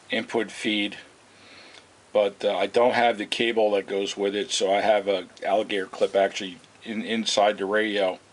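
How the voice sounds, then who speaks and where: A man with a fairly low voice talks through a hands-on demonstration in an informal, unscripted way. His delivery is halting and rambling, like a hobbyist showing something at home. The amateur recording carries a light hiss. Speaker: video host demonstrating vintage radio repair. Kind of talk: casual unscripted product demonstration. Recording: hissy, noisy amateur home video.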